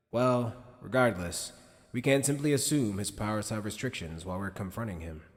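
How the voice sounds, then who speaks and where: A man plays a shrewd middle-aged military commander in an anime audio drama. The voice is low, grave and measured, wrapped in long reverb. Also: lightly acted. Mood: wary. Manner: measured, serious. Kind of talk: anime fan-dub audio drama acting.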